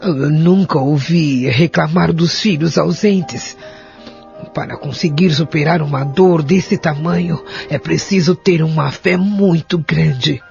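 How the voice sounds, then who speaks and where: Male actor as wise consoling woman, creaky voice, reassuring, gently preaching, audio drama, dull sound. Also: a little high, slow. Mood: gentle, reassuring. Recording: dull lo-fi audio, faint music behind.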